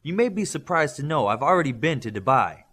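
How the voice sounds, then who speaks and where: A defiant, cutting teenage girl who answers back in clipped lines, as a male audiobook reader puts her on. She speaks a touch higher and louder than the reader's narration, with lively rises and falls. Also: brisk. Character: teen girl, sharp-tongued, proud Israeli.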